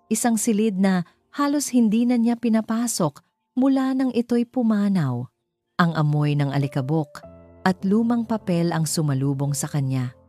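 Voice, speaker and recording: A woman's voice: sits low, dark tone. A woman narrating a scripted dramatic love story, reading as a storyteller. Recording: music bed under the voice. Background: background music.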